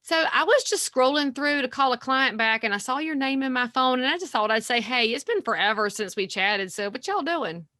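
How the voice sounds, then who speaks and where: A woman talks in a bright, ringing, somewhat high voice. She speaks with folksy, energetic animation, and the sound is dry and close to the mic. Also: a little fast, few pauses, no echo. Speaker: guest realtor. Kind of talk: podcast interview.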